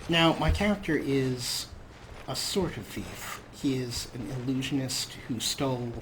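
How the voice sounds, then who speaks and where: A man's voice: mid-range voice, measured pace, a little hiss or hum, rough recording. A man hosting a let's play, giving casual game commentary with wry, dry humor. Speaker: gaming video host.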